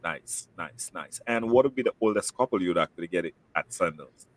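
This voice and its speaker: A man's voice: sits low, lively intonation, brisk, dry recording, rough recording. A man with a Saint Lucian accent hosting a casual promotional interview, chatty and fond of repeating words for emphasis. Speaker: host, travel agent.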